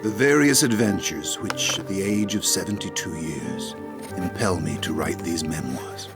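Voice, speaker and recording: An actor playing a man of seventy-two, giving a deliberate, literary dramatic reading of his memoirs. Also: deep voice. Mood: reflective. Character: seventy-two-year-old male memoirist recalling his adventures. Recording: loud music under the voice.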